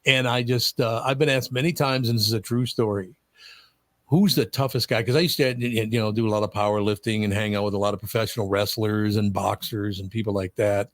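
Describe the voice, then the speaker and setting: An older man hosting a radio talk show, emotional and tearing up, his voice going higher when moved. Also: steady tone, a little fast, dry recording. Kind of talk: casual radio chat.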